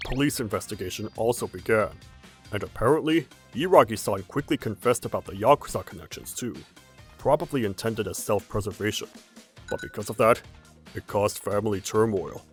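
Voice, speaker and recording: A man playing a calm, practical, protective middle-aged father in a manga dub, explaining gravely in measured phrases. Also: on the low side, faint music behind, close to an everyday voice. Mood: matter-of-fact. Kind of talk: anime-style manga dub acting.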